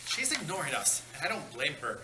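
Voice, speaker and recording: A man voicing a friendly, excitable male ghost sidekick with a playful, gushing manner at a comedy table read. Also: on the high side, brisk. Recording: echoey, noisy meeting room.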